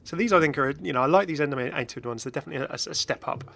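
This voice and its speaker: A man's voice: a little high, rapid-fire, vocal fry, a bit bright. A man giving casual, chatty commentary as host of a gaming video. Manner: chatty, frequently chuckling.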